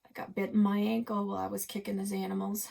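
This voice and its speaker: A woman's voice: mid-range voice, level tone, fast talker, gravelly, dark tone, pitched above the performer's own voice. A woman voicing a nervous, melodramatic young male detective in a storybook, with an anxious, pleading, folksy manner. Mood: panicked.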